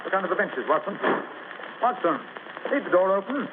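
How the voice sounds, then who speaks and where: An incisive gentleman detective, rather high in pitch with a very animated delivery. He is clipped and commanding, heard through a noisy old radio recording. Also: brisk. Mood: urgent. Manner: clipped, commanding, dryly ironic. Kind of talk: 1940s radio acting. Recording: noisy, narrow-band vintage radio recording.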